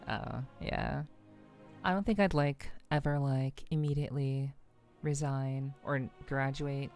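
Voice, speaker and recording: A woman talks casually to her livestream viewers. She rambles in a halting way, circling back over her own phrases. Faint music plays behind her. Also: low voice, a little mellow. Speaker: VTuber streamer.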